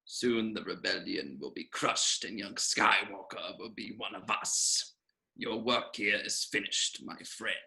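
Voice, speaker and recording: Man as gravelly, sharp old evil Emperor. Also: lowish voice, unhurried, full character voice, poor audio. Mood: coldly commanding. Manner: imperious, assured. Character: sinister, commanding old male galactic emperor. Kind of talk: script table read.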